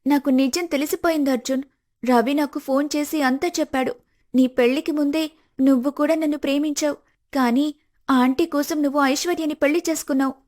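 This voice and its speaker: A woman voicing a confident young woman, a loyal friend in love, speaking directly in an animated family story. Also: sits high, fairly quick, close to an everyday voice. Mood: insistent. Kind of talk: animated moral-story dialogue.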